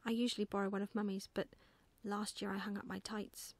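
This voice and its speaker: A woman's voice: medium pitch, level tone, fairly quick, no echo, lightly acted. A woman voicing a proud, bossy little girl in a storybook, explaining things in an emphatic, matter-of-fact way.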